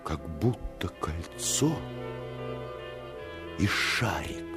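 An actor playing an earnest first-person narrator of a boyhood adventure in a radio play, expressive and suspenseful. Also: male voice, deep voice, very slow, music in the background, exaggerated voice. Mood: hushed suspense. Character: grown male narrator recalling his boyhood adventure, earnest.

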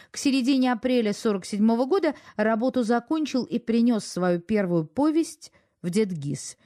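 A woman presenting a radio play, reading a scripted introduction in a measured, formal manner. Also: on the high side, warm tone.

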